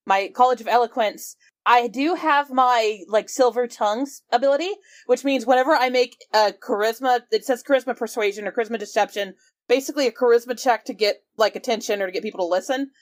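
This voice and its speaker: Eager woman explaining game rules. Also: fairly high pitch. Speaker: player. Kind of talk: casual tabletop game chat.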